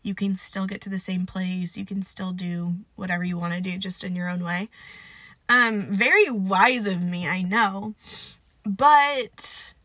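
A woman's voice: medium pitch, unhurried, bright, forceful tone, no room sound. A young woman with a stuffed-up, nasal voice, hosting a casual solo podcast and rambling with lots of "like" and "um.". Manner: rambling, filler-heavy, self-deprecating. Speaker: podcast host.

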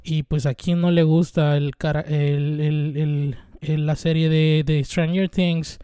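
A young Mexican man in his twenties rambles through a solo show, dropping swear words and fillers as he goes. His voice sits a bit high but sounds mellow and smooth. The recording is dry and close, with no room around him. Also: level tone, slow, no room sound. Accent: Mexican Spanish. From Mexico (Veracruz). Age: 20s. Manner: rambling, irreverent, swears freely, many fillers. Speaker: podcast host. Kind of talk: casual solo monologue.

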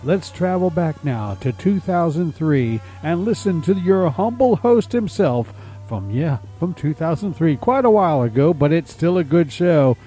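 A male radio host with an unhurried delivery and a mellow, relaxed voice. He introduces the show in a jovial, folksy, mock-formal manner, addressing listeners like friends. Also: a little high, faint music behind. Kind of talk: folksy scripted show introduction over music.

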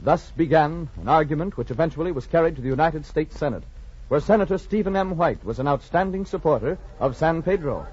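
A man's voice: fairly high pitch, fairly quick, a little mellow, a little hiss or hum. A man reading scripted narration in a formal, dramatic 1940s radio style. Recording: muffled 1940s radio recording.

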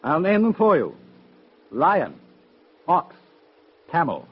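A man's voice: a little high, huge pitch swings, takes it slowly, slightly rough, full character voice. An actor playing Adam, a proud and stubborn young first man, in a 1960s radio drama. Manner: proud, declaiming. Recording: dull old radio recording with music.

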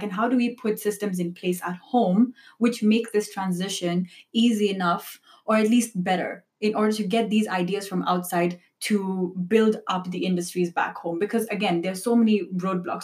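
A woman's voice: medium pitch, fairly even intonation, normal speed, hardly any pauses, a little mellow. Young woman, earnest, questioning, podcast co-host, casual talk. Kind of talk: casual discussion.

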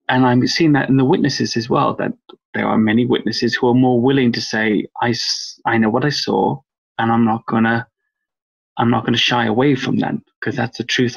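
A man's voice: medium pitch, flat delivery, moderate pace, vocal fry, breathy edge, highs cut off. A man from Wales, a guest researcher on a podcast interview, talking in a rambling, thoughtful way with lots of fillers. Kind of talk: podcast interview over a call.

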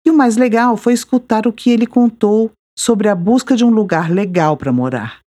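A Brazilian woman hosting a podcast, reading a measured, reflective scripted narration. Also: fairly high pitch, mellow. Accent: Brazilian Portuguese. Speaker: podcast host.

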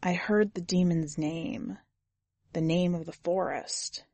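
A woman reading scripted first-person fiction as a narrator, with measured, grave, deliberate phrasing. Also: on the low side, fairly even intonation, darkish tone, no room sound. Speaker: podcast editor and story narrator.

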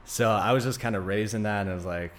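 A man in his thirties speaks in a low voice as a podcast guest. He tells his story in an informal, rambling way, with light noise behind him. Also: quick pace. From Greece, Denmark and Arizona. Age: 30s. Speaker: podcast guest, naturopathic doctor. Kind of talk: casual conversational interview.

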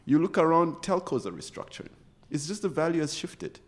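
A man's voice: on the high side, very animated, brisk. A middle-aged man from Uganda, an executive guest speaking earnestly and passionately in an interview, often restarting his sentences. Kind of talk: conversational interview.

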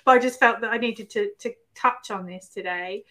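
A woman's voice: fairly high pitch, moderate pace, pushed and bright. A woman hosting a podcast interview, chatty and reflective, sharing her own stories. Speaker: podcast host.

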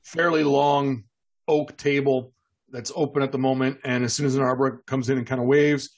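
A game master with a husky voice, improvising narration for a tabletop game in a casual way. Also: medium pitch, level tone, moderate pace.

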